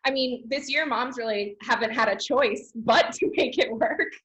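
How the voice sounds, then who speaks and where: A woman's voice: fairly high pitch, moderate pace, fairly bright tone, poor audio. Friendly woman host asking questions. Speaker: entertainment show host. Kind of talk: interview.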